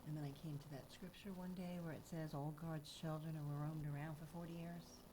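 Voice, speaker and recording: Older woman, grandmother, low pitch, soft quiet voice, noisy studio. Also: level tone, brisk. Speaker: guest. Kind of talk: casual chat on radio.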